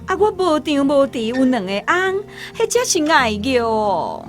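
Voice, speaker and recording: A lively, wry storytelling woman. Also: sits high, measured pace, faint music behind. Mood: wry amusement. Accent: Taiwanese. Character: wry, lively woman recalling her life. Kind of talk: Taiwanese radio drama acting.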